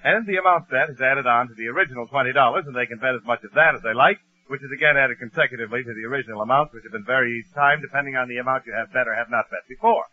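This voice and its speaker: A man's voice: medium pitch, rapid-fire, few pauses. A man announcing a mid-century radio quiz show with a projected showman's delivery. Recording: tinny, narrow old radio broadcast.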